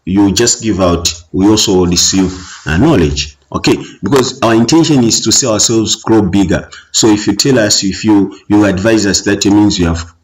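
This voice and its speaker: Zambian male teacher, Bemba speaker with a Tonga-tinged accent, lowish voice, patient filler-heavy explaining. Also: poor audio. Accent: Zambian, Tonga-influenced. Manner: explains slowly, with frequent fillers and "okay". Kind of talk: exam revision lesson.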